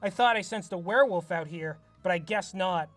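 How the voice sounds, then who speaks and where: A man voicing a serious teenage girl werewolf hunter, reading her lines flatly and matter-of-factly. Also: high-pitched, moderate pace, faint music behind. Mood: puzzled.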